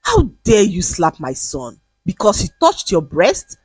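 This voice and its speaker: A woman's voice: lowish voice, huge pitch swings, a little slow, husky, no echo, full character voice. A woman storyteller voicing a rich, indulgent mother who is haughty, angry and cutting. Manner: haughty, sharp, cutting, dismissive. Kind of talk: dramatised quotes in narrated folktale storytelling.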